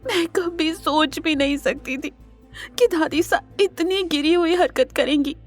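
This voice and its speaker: A woman's voice: sits high, unhurried, faint music behind. A woman playing a hurt, proud young wife in an audio drama, speaking earnestly and with emotion. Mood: hurt, indignant. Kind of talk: Hindi audio drama acting.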